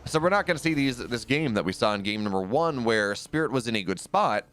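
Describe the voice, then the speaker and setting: A man's voice: animated, a little fast, a bit bright, slight background noise. A man casting a strategy game live, talking casually with his chat between plays and often restarting his sentences. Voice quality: deep radio-style voice. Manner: conversational, rambling asides with frequent restarts. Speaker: esports caster.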